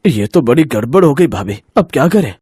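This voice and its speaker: A man's voice: mid-range voice, very animated, fairly quick, background noise, natural voice. A man playing a worried middle-aged husband and father in a cartoon story, fretful and emphatic. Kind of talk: Hindi cartoon moral story acting.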